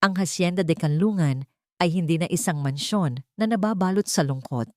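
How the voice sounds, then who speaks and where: A woman reading a sentimental story as an audiobook narrator, in a measured, dramatic way. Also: on the low side, dry recording, studio quality.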